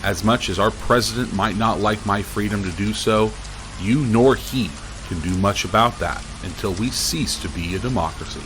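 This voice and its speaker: Deep-voiced indignant reporter played by a man. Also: normal speed, fairly bright tone, a little hiss or hum, natural voice, rough recording. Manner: pompous, wordy, defiant. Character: indignant, formal male small-town news reporter. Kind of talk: radio drama acting.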